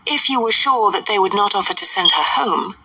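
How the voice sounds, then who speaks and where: A woman voicing a calm, wry young woman. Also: mid-range voice, a little fast, breathy edge, no echo, far from the mic, pitched above the performer's own voice, heavily acted.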